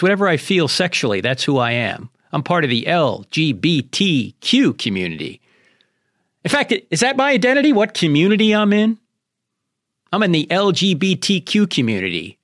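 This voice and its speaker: Animated, high-pitched American man hosting radio. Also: no room sound, clean studio sound. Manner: emphatic, preacherly, addresses listeners directly. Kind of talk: radio monologue with rhetorical questions.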